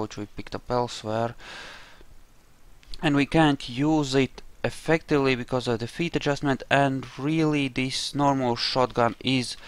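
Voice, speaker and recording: A man's voice: mid-range voice, fairly bright tone, smooth. Man rambling through casual gameplay commentary. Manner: rambling, thinking aloud. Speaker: gamer narrating his own roleplay playthrough. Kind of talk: casual let's play commentary.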